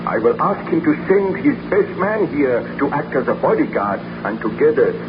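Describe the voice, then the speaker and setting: An actor playing an anguished, guilt-ridden baron, speaking formally and melodramatically in 1930s radio drama style. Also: male voice, on the high side, big pitch swings, normal speed. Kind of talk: 1930s radio drama acting, narration and dialogue. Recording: dull, noisy 1930s radio recording.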